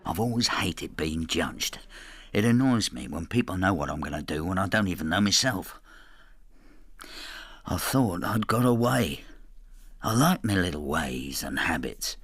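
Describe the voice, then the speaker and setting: Low-voiced, brooding older man. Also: lively intonation, unhurried, a bit bright, husky, no echo, clean studio sound, exaggerated voice. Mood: troubled reflection. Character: brooding older Frenchman, resentful, inward, estranged husband. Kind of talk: radio drama, intimate first-person narration and dialogue.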